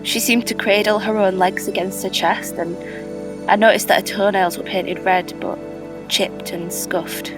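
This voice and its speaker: Reflective stranger narrator, audio drama, female actor. Measured, wistful, medium pitch, faint music bed. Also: steady tone, lightly acted, poor audio. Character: reflective, observant stranger recalling a park bench encounter.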